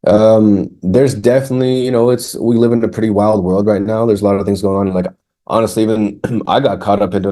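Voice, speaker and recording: A man's voice: on the low side, dark tone. A man in his thirties, a music producer talking casually as an interview guest, rambling with restarts and fillers in a candid way. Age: 30s.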